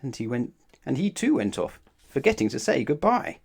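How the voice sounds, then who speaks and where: Very animated male children's storyteller. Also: medium pitch, normal speed, creaky, husky. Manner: expressive, addresses listeners with questions. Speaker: storybook narrator. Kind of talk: children's read-aloud narration.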